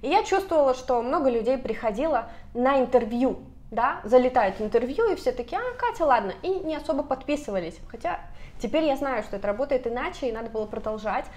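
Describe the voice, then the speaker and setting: A woman in her thirties talking to camera in a casual vlog, speaking animatedly and gesturing a lot, recorded on a lapel mic in a bright apartment room. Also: high-pitched, animated, quick pace. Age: 30s. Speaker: vlogger.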